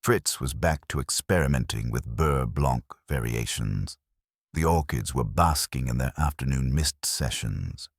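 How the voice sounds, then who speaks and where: A man narrating a scripted first-person detective story in a wry, measured storytelling manner. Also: a bass voice, expressive, dry recording, studio quality. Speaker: audiobook narrator.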